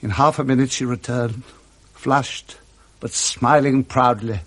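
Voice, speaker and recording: Casting: male British narrator, mid-range voice, expressive literary storytelling for a classic radio drama. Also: medium pitch, unhurried.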